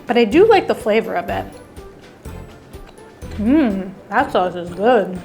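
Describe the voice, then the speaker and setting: A woman's voice: mid-range voice, animated, slow pace, creaky, a little mellow, soft background music. A woman hosting a food vlog, chatting and thinking aloud as she tastes each dish. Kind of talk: casual food tasting vlog.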